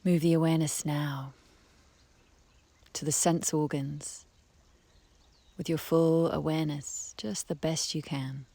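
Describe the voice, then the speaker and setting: A woman leading a guided meditation in a soft, breathy voice, speaking gently with long unhurried pauses. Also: low voice, fairly even intonation, no echo. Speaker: guided relaxation teacher. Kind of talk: guided meditation, soothing slow instruction. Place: outdoors beside a harbour. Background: birdsong, trickling stream, breeze.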